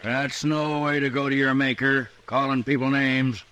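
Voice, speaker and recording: Male actor as callous mob rancher, American, mid-pitched, taunting, radio western, slight vintage noise. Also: level tone, normal speed, bright, forceful tone, natural voice. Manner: curt, taunting. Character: menacing, callous Old West lynch-mob rancher. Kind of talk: 1950s radio western acting.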